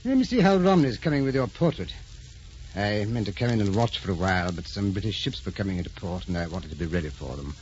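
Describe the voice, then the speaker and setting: A man's voice: fairly low pitch, expressive, fast talker, a little mellow, natural voice. A dull, noisy old radio recording of a man playing a courtly ambassador. He is genteel and indulgent, a fond husband speaking with formal courtesy in a period drama. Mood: fond, musing. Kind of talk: 1940s radio drama acting.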